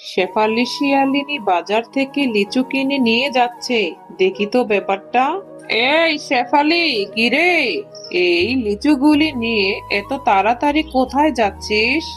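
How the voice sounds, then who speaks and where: A woman's voice: high-pitched, lively intonation, unhurried, soft background music. A woman voicing a nosy tigress neighbour in a cartoon, prying and reproachful in manner. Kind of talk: cartoon dubbing.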